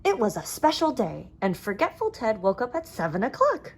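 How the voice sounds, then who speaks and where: A woman reading a children's storybook aloud as narrator, with expressive storytelling. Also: fairly high pitch, normal speed, slightly rough. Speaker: storybook reader.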